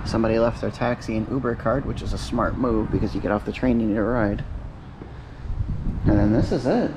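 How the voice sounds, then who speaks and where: A man's voice: on the low side, brisk. A man in his forties giving a casual, upbeat walking tour of a small outdoor train platform, filmed on a handheld action camera. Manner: chatty, upbeat, narrates as he films. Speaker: travel vlogger. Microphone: action camera held at arm's length. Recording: loud wind and outdoor noise.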